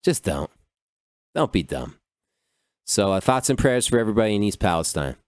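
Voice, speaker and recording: A man's voice: fairly low pitch, big pitch swings, moderate pace, no echo, clean studio sound. A man hosting a podcast by himself, riffing on news stories in a loose, profane, rambling way, full of "dude" and "like". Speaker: podcast host. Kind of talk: casual solo commentary on news.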